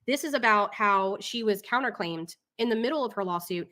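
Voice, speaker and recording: A woman's voice: medium pitch, animated, brisk, sharp tone. A woman hosting a casual live talk show, reading documents and commenting in an exasperated, opinionated way.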